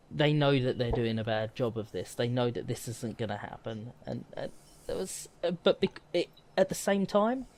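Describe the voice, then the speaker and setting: A man's voice: a little high, a little mellow, slight background noise. A man co-hosting a casual gaming chat, talking in a rambling, emphatic way and often correcting himself. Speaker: podcast co-host. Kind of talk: casual chat about video games.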